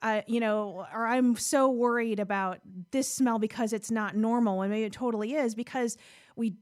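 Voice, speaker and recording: A woman's voice: on the high side, fairly even intonation, darkish tone. A woman in her thirties hosting a podcast, talking candidly and chattily about her own questions. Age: 30s. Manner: candid, chatty, quick to laugh. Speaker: podcast host. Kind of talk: casual podcast conversation.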